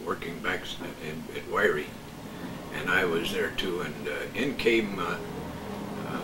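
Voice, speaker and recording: An older man telling casual radio stories in a halting way, searching for words. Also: deep voice. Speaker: veteran radio broadcaster reminiscing as a guest. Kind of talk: casual storytelling. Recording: echoey room, distant mic, background noise.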